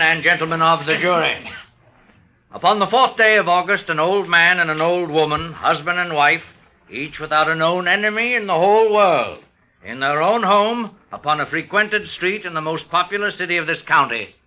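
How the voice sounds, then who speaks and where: An actor playing a formal, probing prosecutor in old radio drama, speaking with precise, deliberate oratory. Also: male voice, a little high, bright, forceful tone. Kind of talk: 1940s-50s radio courtroom acting. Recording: muffled old radio recording.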